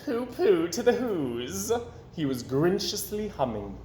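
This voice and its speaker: Slow, highly animated male storyteller. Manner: theatrical, emphatic. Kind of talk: dramatic children's story read-aloud. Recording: echoey room with background noise.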